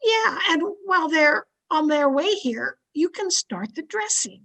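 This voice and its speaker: A woman's voice: sits high, very animated, unhurried, fairly bright tone, heavily acted. A woman playing a supportive, chirpy housewife best friend in an old-time radio sitcom, coaxing and wry in a girlish way. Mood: helpful, concerned. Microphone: home microphone over video call.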